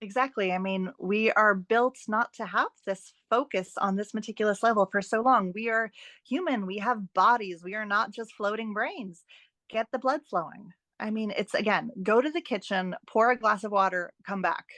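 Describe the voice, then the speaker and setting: Expressive woman giving advice, close dry sound. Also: medium pitch, normal speed, no room sound. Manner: animated, emphatic, repeats phrases for stress; encouraging, playful asides. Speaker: podcast guest, writing and editing expert. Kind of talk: conversational interview, giving advice.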